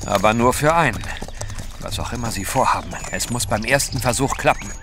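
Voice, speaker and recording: An actor playing a composed, resolute gentleman adventurer in an audio drama, speaking in a measured, determined way. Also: mid-range voice, noisy background, close to an everyday voice. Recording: horse hooves clearly behind the voice.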